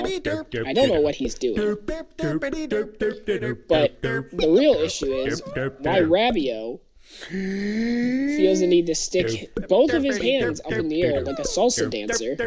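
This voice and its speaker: A young man with a hoarse, fading voice, chatting casually to viewers over football game footage from a room at home. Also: high voice. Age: young adult. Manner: chatty, talks straight to viewers as "guys". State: hoarse, losing his voice. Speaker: gaming video creator. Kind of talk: casual gameplay commentary.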